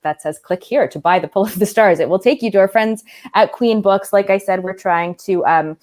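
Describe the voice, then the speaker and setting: A woman's voice: medium pitch, moderate pace, a little mellow. A woman event host giving informal thanks and announcements to an online audience.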